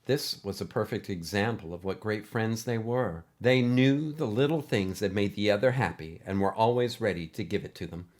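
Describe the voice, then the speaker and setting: A male storyteller with a fairly low voice. He narrates scripted fiction with expressive, deliberate phrasing.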